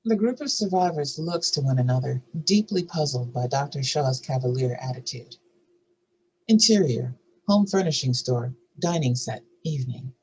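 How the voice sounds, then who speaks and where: A man's voice: medium pitch, lively intonation, normal speed, a little mellow, slightly rough, low-quality recording. A man giving scripted narration, reading out stage directions for a drama table read.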